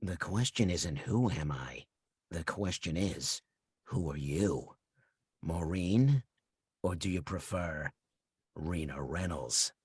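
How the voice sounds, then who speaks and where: Man playing a husky, low-voiced slasher killer. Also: animated, no echo, full character voice. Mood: cold, taunting. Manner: sinister, taunting, deliberate. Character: menacing masked slasher killer taunting a victim by phone. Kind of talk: slasher film table read.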